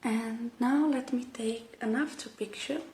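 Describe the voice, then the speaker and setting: A woman doing a gentle, unhurried ASMR roleplay, speaking softly and slipping into breathy whispers close to the microphone. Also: on the high side, a little hiss or hum. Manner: gentle, unhurried, murmuring to the listener. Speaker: ASMR creator playing a robot repair technician.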